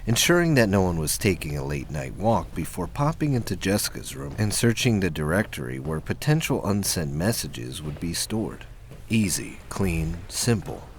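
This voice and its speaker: A man's voice: low voice, gravelly, a little hiss or hum. A man reading scripted narration for a science fiction audio drama.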